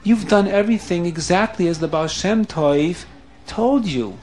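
A man's voice: high-pitched, a little slow, a little mellow. A man doing a soothing, steadfast wife. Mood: reassuring. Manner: soothing, firm, encouraging. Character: steady, reassuring wife, female.

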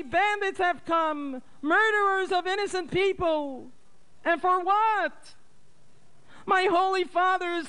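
A frantic old mother wails and begs in a high, quavering voice, as a male storyteller puts her on. Her pleas swing up and down, full of fright and grief. The tone stays clear and smooth. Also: fairly bright tone, heavily acted. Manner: wailing high cries. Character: elderly mother, frantic, pleading, grief-stricken.

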